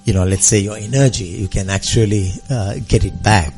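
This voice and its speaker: A man's voice: lowish voice, brisk, music in the background. A man speaking earnestly on a radio show, with many fillers. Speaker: foundation representative. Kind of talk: speech-like radio talk.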